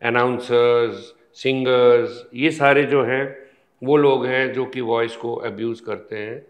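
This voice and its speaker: A man, a surgeon, explaining a health topic in an interview, slowly and patiently step by step in the he mixes in. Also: medium pitch, steady tone, highs cut off. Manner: slow, measured, explaining step by step with pauses. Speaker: ENT surgeon guest.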